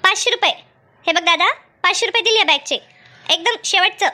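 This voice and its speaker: A woman's voice: very high-pitched, a little fast, sharp tone, full character voice. A woman voicing a hard-bargaining village mother in a comedy cartoon, argumentative, firm and chatty. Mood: indignant. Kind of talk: Marathi comedy cartoon dub.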